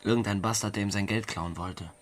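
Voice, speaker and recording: A man's voice: lowish voice, steady tone, brisk, close to an everyday voice. An actor playing a guarded, defiant young man in a radio play.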